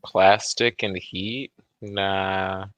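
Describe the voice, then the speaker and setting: A man with a very low but forceful, sharp-edged voice banters as a livestream co-host. He is blunt, slangy and sweary, recorded dry with no room sound. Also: flat delivery, extremely slow, no echo, muffled recording.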